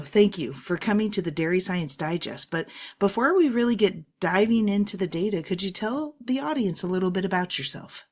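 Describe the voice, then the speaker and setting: A woman with a voice on the lower side hosts a podcast. She moves between a prepared, presentational read and interview questions addressed to her guest. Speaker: podcast host. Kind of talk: scripted introduction and interview questions.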